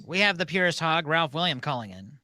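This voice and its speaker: A man's voice: a little high, animated, fairly quick, bright, forceful tone, no echo, clean studio sound. A man casually hosting a call-in show, chatting with guests and callers.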